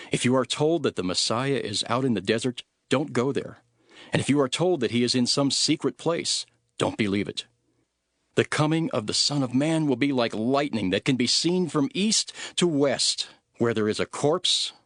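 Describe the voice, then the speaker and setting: A man reading scripture as a solemn, dramatic narration, measured and earnest, with deliberate phrasing. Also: medium pitch, a bit bright. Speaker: Bible narrator. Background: background music.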